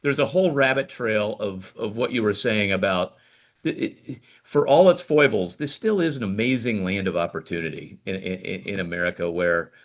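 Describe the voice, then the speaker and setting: A man's voice: fairly low pitch, moderate pace, highs cut off. A man hosting a podcast interview, chatting casually and rambling with many restarts. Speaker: podcast host.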